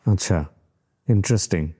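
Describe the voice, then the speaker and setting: Low, mellow Bangladeshi male host. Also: normal speed, slightly rough. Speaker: talk show host. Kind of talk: hosting a life-story interview.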